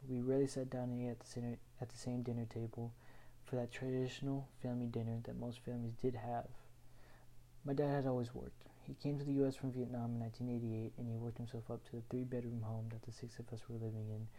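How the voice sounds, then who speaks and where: A young man from Houston, Texas, reading his own written personal story aloud in an earnest, measured way. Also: medium pitch, flat delivery, warm tone, poor audio. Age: young adult.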